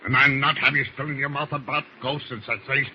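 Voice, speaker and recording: A dull old radio recording with faint noise. An actor plays a hard-bitten villainous sailor of medium pitch, issuing threats and orders in salty seafaring talk. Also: male voice, fairly even intonation, quick pace, natural voice. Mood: menacing. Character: menacing, domineering villainous seaman, salty and hard. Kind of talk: 1940s radio drama acting.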